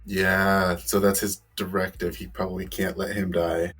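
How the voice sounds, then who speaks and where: A man's voice: low voice, flat delivery, moderate pace, fairly bright tone, breathy edge. A man playing a tabletop game, speculating hesitantly. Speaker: player.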